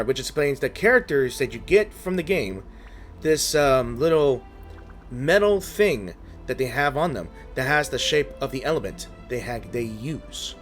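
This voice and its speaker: A man hosting a gaming video, commenting casually and haltingly as he reasons aloud over game music. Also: mid-range voice. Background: faint game music.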